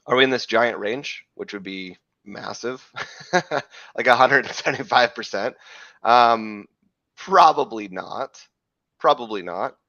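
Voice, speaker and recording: A man hosting a live crypto trading show, talking through chart analysis in a rambling way, correcting himself, with a slightly hoarse voice from losing it. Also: mid-range voice, a little slow, sharp tone, dry recording, muffled recording. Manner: rambling, self-correcting, frequent "right?" checks.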